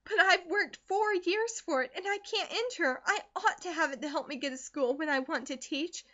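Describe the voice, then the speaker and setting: A woman's voice: very high voice, moderate pace, a bit bright, voice pushed up. A woman reading aloud and voicing an anxious, earnest teenage schoolgirl who pleads in distress, in a dull lo-fi recording. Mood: pleading.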